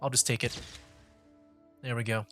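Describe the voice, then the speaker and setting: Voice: mid-range voice, level tone, normal speed, fairly bright tone. A streamer chatting casually over a game and reading dialogue options aloud, laid-back and conversational. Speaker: streamer, VTuber playing a game. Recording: game music under the voice. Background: game background music.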